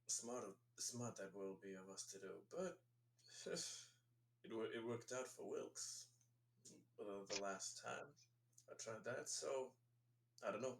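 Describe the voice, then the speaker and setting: A man's voice: on the low side, roomy sound, slight background noise. A man voicing a wisecracking male ex-convict crew member in a tabletop roleplay, drawling and boastful. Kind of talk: in-character roleplay.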